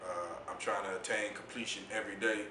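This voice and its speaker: A man with a deep voice, speaking as an interview guest in a laid-back, reflective way about his poetry and youth mentoring. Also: fairly even intonation, brisk, reverberant, background noise. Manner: laid-back, reflective, says "you know what I'm saying" often. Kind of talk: casual interview talk.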